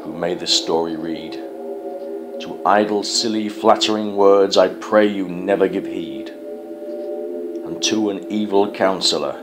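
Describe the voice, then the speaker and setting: A man recites a cautionary storybook poem as narrator. His delivery is slow and theatrical, in a low voice, with prominent music playing behind him. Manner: measured, theatrical. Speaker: poetry reader, narrator.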